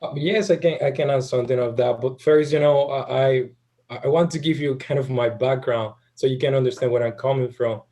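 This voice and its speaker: A man's voice: mid-range voice, fairly even intonation, brisk, mellow. A young man from the Dominican Republic, Spanish his first language, earnestly telling his story as a program manager on a video call, often restarting.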